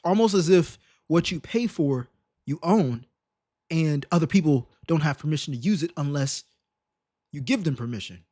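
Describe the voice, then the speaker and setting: A man hosting a casual reaction livestream, slangy and emphatic with rhetorical questions, in a slightly raspy voice. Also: a little high, expressive, moderate pace, dark tone, no room sound, clean studio sound. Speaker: live stream host reacting to videos.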